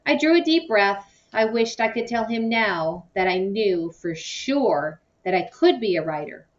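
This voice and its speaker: A woman reading a children's novel aloud in an expressive, storytelling way, acting out the dialogue. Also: mid-range voice. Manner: animated, acts out dialogue. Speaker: audiobook-style reader. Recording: distant, muffled microphone.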